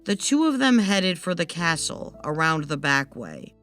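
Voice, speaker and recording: A woman's voice: sits low, sing-song, a little slow, a bit bright, soft background music. A woman narrating a scripted fairytale as a storyteller.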